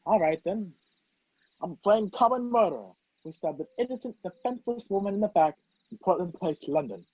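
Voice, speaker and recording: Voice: mid-range voice, lively intonation, a little fast, darkish tone, slightly rough, no room sound. An actor playing Richard Hannay as a brusque, sardonic man on the run in an old-fashioned radio play, recorded muffled over a video call. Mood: menacing, sarcastic. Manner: commanding, sarcastic.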